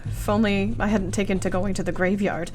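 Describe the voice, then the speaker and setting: A woman's voice: medium pitch, fairly even intonation, a little fast, darkish tone, slight background noise, lightly acted. A woman acting an earnest, anxious young Victorian wife in a radio play, devoted and thoughtful, with formal, precise period phrasing. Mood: anxious, regretful.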